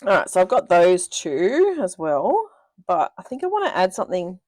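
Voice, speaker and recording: A woman's voice: on the low side, huge pitch swings, normal speed, a little mellow, a little hiss or hum. Chatty sniffly woman crafting live. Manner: chatty, thinking aloud, laughs often. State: sniffly from a cold. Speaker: craft demonstrator, live stream host. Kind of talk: casual live crafting chat.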